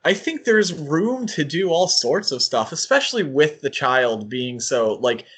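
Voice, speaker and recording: A man's voice: a little high, very animated, a little slow, a bit bright, husky. A man co-hosting a podcast, thinking aloud in a casual fan discussion and often qualifying what he says. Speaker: podcast co-host.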